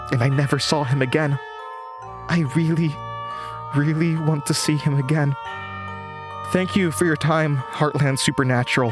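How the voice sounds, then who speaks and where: A man playing an anxious, haunted young host of a horror radio show in an audio drama. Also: a little high.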